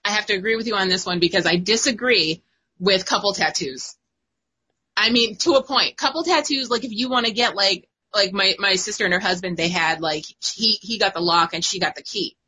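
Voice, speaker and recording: A young woman co-hosting a casual chat, talkative and quick to laugh, with lots of "like" and fillers. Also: medium pitch, sharp tone, breathy edge, rough recording. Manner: chatty, laughs often, many fillers. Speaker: guest co-host.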